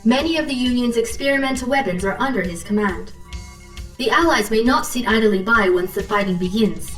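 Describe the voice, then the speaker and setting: An actress playing a composed, dutiful Japanese military intelligence officer, giving formal, clipped briefings in a video game. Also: female voice, fairly high pitch, brisk, a bit bright, roomy sound, recorded from a distance, soft background music, close to an everyday voice.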